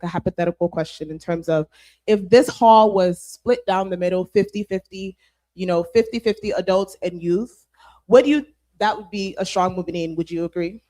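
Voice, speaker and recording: A woman's voice: on the low side, a little mellow. A young woman moderating a youth panel, friendly and filled with "um" and "you know".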